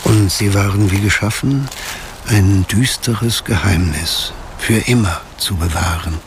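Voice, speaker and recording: A man narrating a radio drama as a brooding storyteller of a dark mystery, in a measured, ominous manner. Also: on the low side, noisy background.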